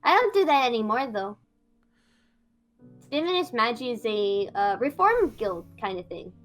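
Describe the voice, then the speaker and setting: A woman's voice: on the high side, big pitch swings, moderate pace, soft background music. A woman improvising a reformed fire mage in a game roleplay, hesitant and stammering, often repeating words.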